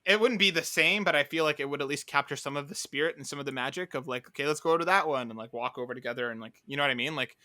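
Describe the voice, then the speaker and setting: A man's voice: on the high side, rapid-fire, hardly any pauses, bright, forceful tone, no echo, clean studio sound. A man hosting a casual gaming podcast, chatting in a conversational, opinionated way. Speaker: podcast host.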